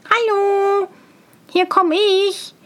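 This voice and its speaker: A woman plays a young simpleton from a storybook, brash and cheerful. The voice is very high and drawn out, with naive, carefree exclamations. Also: lively intonation, slow, fairly bright tone, exaggerated voice. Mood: excited, proud. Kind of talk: fairy tale storybook reading.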